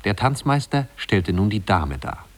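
A man's voice: lowish voice, big pitch swings, unhurried. A man narrating a literary tale as the scripted storyteller of a 1950s radio play.

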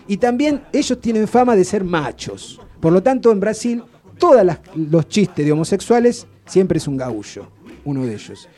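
A man's voice: high voice, warm tone. A man chatting on a radio show, telling rambling stories full of restarts. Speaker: radio guest presenting authors. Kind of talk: casual radio chat. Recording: radio studio with faint background music.

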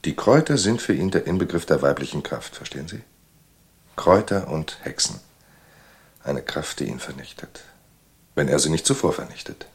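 Ein Schauspieler als gefasster Anstaltsarzt in einem Hörspiel, der bedächtig und erklärend mit rauer Stimme spricht. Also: male voice, extremely low pitch, expressive. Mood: measured, grave. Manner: measured, explanatory. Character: composed male asylum doctor explaining a patient's madness. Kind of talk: German radio drama acting.

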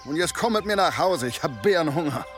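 A man's voice: on the high side, expressive, brisk, soft background music, natural voice. An actor playing a down-to-earth, sceptical village man in an audio drama, wry and matter-of-fact. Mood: wry, joking. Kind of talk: German audio drama acting.

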